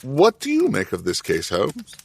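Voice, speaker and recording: An actor playing a thoughtful, self-doubting Victorian doctor, measured and dry in audio drama narration and dialogue. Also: male voice, medium pitch, huge pitch swings, gravelly, a little hiss or hum. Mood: curious, probing.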